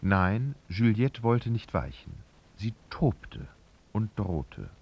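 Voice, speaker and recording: A man narrating a literary radio drama in a husky voice, with dramatic, sardonic storytelling. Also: on the low side, very animated, slow pace, dull audio, exaggerated voice.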